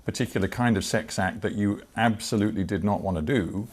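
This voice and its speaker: An older man with a British accent conducting a broadcast interview, asking measured, deliberate and probing questions. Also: lowish voice, darkish tone, husky. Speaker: television interviewer.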